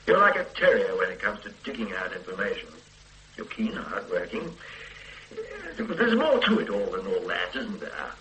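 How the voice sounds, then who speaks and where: Radio play: stern senior cop, mocking, bright and animated. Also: male voice, low voice, moderate pace, slight background noise, exaggerated voice, poor audio. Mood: lecturing. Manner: lecturing, brusque, mocking. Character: stern middle-aged male police superintendent boss. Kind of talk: 1980s radio drama acting.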